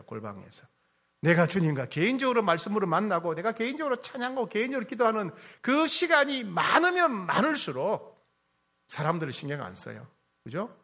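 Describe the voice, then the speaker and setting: Korean pastor guy preaching, kinda high voice, bounces all over, keeps asking the crowd stuff and repeating himself. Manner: asks the congregation questions and teases them; repeats key phrases, swings from loud to soft. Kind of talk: sermon.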